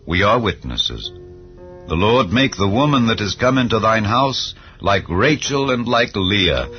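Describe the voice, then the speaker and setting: A man giving a solemn, measured reading of old-style scripture as narration. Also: deep voice. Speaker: Bible reader. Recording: slightly dull with soft background music. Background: soft background music.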